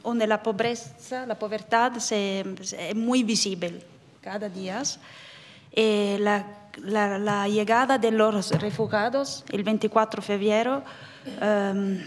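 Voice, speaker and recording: Italian-accented woman, halting, in a hall. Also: medium pitch. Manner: halting, searching for words. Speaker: humanitarian aid worker. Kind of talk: panel discussion. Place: conference hall, indoors. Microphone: desk microphone. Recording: reverberant conference hall.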